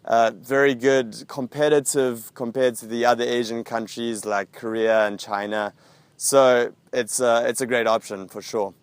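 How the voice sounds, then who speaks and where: A man's voice: medium pitch, little pitch movement, a little slow, smooth, no echo. A young man with a South African accent, hosting a casual vlog and chatting upbeat to camera about teaching abroad. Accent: South African English. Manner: upbeat, chatty, with frequent fillers. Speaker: vlog host answering viewer questions.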